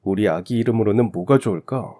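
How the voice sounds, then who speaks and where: A doting young husband and father, acted by a man in a radio story drama. The voice is low and very mellow, devoted and affectionate. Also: a little fast, close to an everyday voice.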